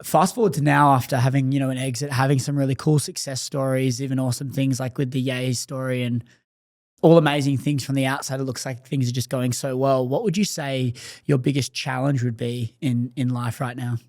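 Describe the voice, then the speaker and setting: A man's voice: mid-range voice, little pitch movement, a little fast, darkish tone, no echo, clean studio sound. A man hosting a podcast, asking long rambling questions full of fillers. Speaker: podcast host. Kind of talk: casual interview questions.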